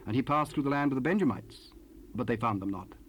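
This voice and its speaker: A man narrating scripture from a script in a formal, measured way. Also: mid-range voice. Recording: hissy 1970s cassette rip.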